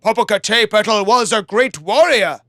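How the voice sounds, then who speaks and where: A woman's voice: mid-range voice, moderate pace, pushed and bright, no room sound, studio quality, voice pushed up, close to an everyday voice. A woman voicing mighty ancient gods who speak as one, solemn and declaring.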